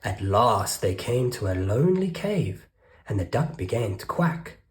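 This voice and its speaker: A storyteller reading a rhyming children's picture book aloud with rhythmic, expressive delivery. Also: mid-range voice, unhurried. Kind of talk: children's read-aloud narration.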